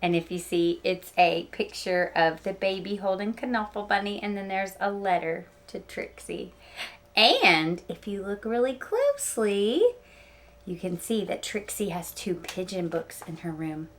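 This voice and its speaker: A woman teacher reading a picture book aloud to children, with animated emphasis and chatty explaining asides. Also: mid-range voice, measured pace.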